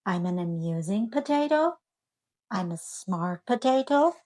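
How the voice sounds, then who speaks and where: A woman voicing an earnest, cheerful couch potato in a storybook, with expressive, drawn-out delivery. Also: mid-range voice, dry recording. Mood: proud.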